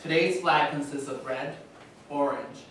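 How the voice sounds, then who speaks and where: A measured male narrator, played by an actor reads formally on stage. His voice is fairly high for a man, distant in a very echoey room with faint noise. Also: fairly bright tone. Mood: neutral, formal. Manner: measured, deliberate. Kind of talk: scripted factual reading on stage.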